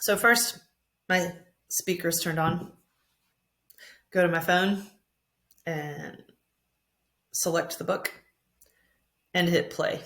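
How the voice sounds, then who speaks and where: A woman's voice: on the low side, steady tone, takes it slowly, pauses often, fairly bright tone. Upbeat woman presenting a teaching tutorial. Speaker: teacher presenting a reading app.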